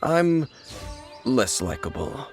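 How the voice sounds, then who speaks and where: A man playing an aloof, world-weary wandering warrior in an anime-style video game, speaking in a measured, formal, brooding way. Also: medium pitch, very animated. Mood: cool, guarded. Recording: music behind the voice.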